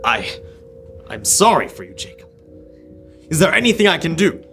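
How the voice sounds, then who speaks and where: A young man acts out a miserly old man in a radio play, grumpy and easily frightened. The voice is rather high and sharp, swinging widely in pitch, over faint music. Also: very animated, normal speed, creaky, heavily acted. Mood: uneasy. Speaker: student actor. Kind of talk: old-time radio drama acting.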